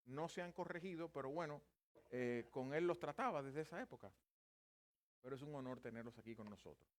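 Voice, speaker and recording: A man's voice: mid-range voice, brisk. A man in his fifties who is a native Spanish speaker, preaching a sermon in a church, with self-deprecating humor and earnest Bible teaching. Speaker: pastor. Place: church sanctuary.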